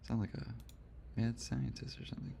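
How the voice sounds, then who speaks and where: Hoarse gravelly man walking through software. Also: medium pitch, steady tone, normal speed, a little hiss or hum. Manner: casual, rambling, self-correcting with fillers. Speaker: instructor. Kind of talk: screen-recorded software tutorial.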